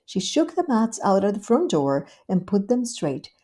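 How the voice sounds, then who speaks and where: Gentle, mellow woman reading a storybook. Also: medium pitch, sing-song, moderate pace. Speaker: storybook reader. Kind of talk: scripted narration of a children's story.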